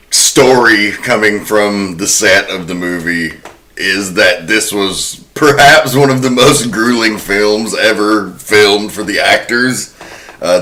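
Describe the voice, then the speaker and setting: A man's voice: fairly low pitch, pushed and bright. A man co-hosting a casual panel discussion, telling stories in an informal, slightly crude way. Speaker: podcast co-host.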